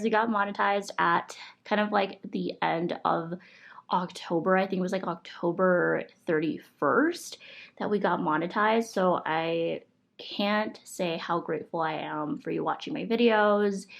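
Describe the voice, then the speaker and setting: A woman's voice: medium pitch, unhurried, vocal fry. A young woman from the United States with a congested, stuffy voice, chatting casually and cheerfully to her viewers. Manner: upbeat, chatty, thankful, many fillers like "like". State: congested from a cold. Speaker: vlogger reviewing monthly goals. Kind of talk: casual talk to camera.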